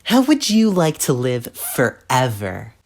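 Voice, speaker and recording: Woman acting a very deep-voiced, bossy troll girl. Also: very animated, slow, music in the background, heavily acted. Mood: sly, cocky. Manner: condescending, teasing, cocky. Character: bossy, scheming young female alien troll. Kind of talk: audio drama dialogue.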